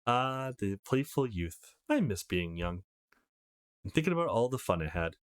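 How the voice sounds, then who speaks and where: A man acts out a motherly older woman from a visual novel. She fusses gently and reassures, kindly and a little wistful, with pitch that moves up and down a lot. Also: very animated, moderate pace, clean tone, dry recording, clean studio sound. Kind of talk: visual novel character reading.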